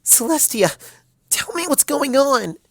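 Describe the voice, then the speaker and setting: A man's voice: extremely high pitch, sing-song, a little slow, no echo, pitched above the performer's own voice, full character voice. A man voicing a young female pony student, frightened and pleading, with a stammering, tearful delivery. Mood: tearful panic.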